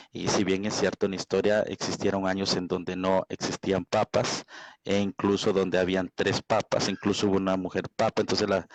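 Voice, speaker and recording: A man's voice: low-pitched, no echo, poor audio. A man speaking off the cuff in a religious debate, hesitant and often checking for agreement. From Guatemala. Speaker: evangelical debater. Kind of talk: extemporaneous religious debate introduction.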